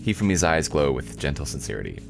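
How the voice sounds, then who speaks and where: Visual novel protagonist: sardonic young guy read by a man, low-pitched, disappointed, dryly narrating. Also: very animated, quick pace, vocal fry, soft background music, heavily acted. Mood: resigned. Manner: dry, incredulous, narrating.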